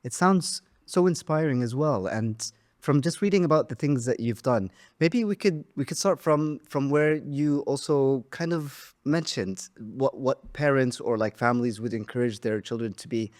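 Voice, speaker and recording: A man's voice: mid-range voice, normal speed. A man hosting a podcast interview, thankful and self-deprecating as he introduces his guest. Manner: warm, grateful, self-deprecating. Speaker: podcast host.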